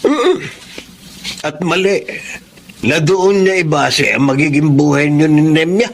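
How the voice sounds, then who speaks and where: A man's voice: fairly high pitch, lively intonation, slow. An actor playing a concerned, knowing middle-aged father in a Filipino radio drama, asking probing questions. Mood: knowing, disapproving. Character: concerned older father of a grown son, knowing. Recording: noisy background ambience.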